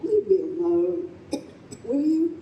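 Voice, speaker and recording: An actress playing a warm, exasperated mother in her fifties in an old-time radio style stage play. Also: a little high, sing-song, slow, highs cut off. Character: warm, exasperated fifty-two-year-old smoker mother and office manager. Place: convention room stage performance. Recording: roomy live hall, faint background noise.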